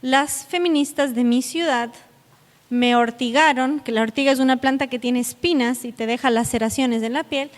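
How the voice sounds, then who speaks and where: A woman's voice: high voice, fairly even intonation, normal speed. A South American woman giving a public lecture, speaking earnestly and directly to her audience. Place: conference hall. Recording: echoey hall with long reverb.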